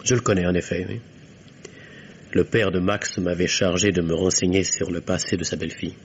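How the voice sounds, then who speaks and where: A man's voice: deep voice, level tone, brisk, lo-fi sound. An actor playing a suave young male suspect in an old French radio mystery, dryly polite, evasive and coolly defensive. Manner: dry, guarded politeness. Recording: faintly noisy vintage radio recording.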